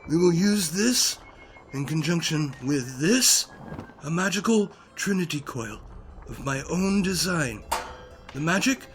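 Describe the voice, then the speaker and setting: A man's voice: on the high side, animated, measured pace, soft background music. A man playing a self-assured wizard in an audio drama, with a lofty, formal, theatrical manner. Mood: grand.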